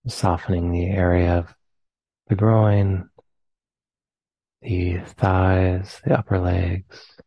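A man with an American accent, leading a guided meditation in slow, soft phrases with long pauses. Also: sits low, flat delivery, vocal fry, no echo, lo-fi sound. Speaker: meditation teacher.

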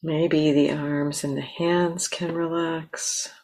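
A woman leads a guided meditation in a low voice. She speaks very slowly and gently, setting down short phrases and leaving long, unhurried pauses between them. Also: little pitch movement. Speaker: meditation teacher.

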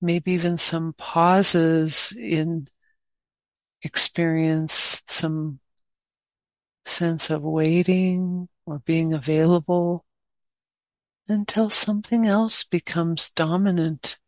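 A woman guiding a meditation as a teacher, speaking gently in short unhurried phrases with long pauses. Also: lowish voice, steady tone, dry recording. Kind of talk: guided meditation.

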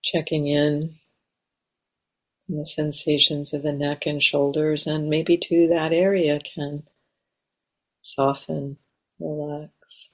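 Slow, even-toned woman guiding meditation. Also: sits low. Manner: gentle, measured, with long pauses. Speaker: meditation teacher. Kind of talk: guided meditation. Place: meditation center.

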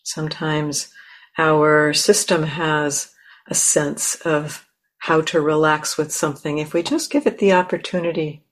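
A woman teacher leading a guided meditation in a gentle, unhurried way with long pauses between phrases. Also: sits low, fairly even intonation.

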